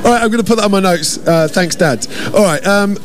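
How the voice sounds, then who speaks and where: A man's voice: high voice, fast talker. A middle-aged man, hosting a casual festival radio interview, upbeat and chatty with frequent laughs.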